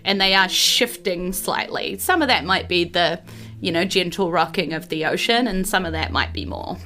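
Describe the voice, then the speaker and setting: A woman's voice: fairly low pitch, moderate pace, bright, forceful tone. A woman with a New Zealand accent improvising narration as game master of a tabletop game, conversational and descriptive. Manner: conversational, descriptive, hedging with kind of and sort of. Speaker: game master, podcast host. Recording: faint music behind the voice. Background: faint background music.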